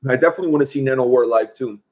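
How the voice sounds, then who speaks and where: A man's voice: mid-range voice, rapid-fire, a little mellow, lo-fi sound. A man from Portugal hosting a casual livestream, chatting and answering viewer questions. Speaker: host.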